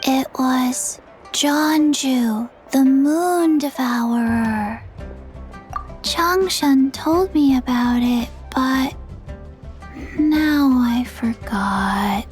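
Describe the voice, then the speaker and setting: A woman voicing a small, forgetful zombie girl in a video game, soft and childlike, with halting deadpan phrases. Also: high-pitched. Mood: flat, earnest. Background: background music.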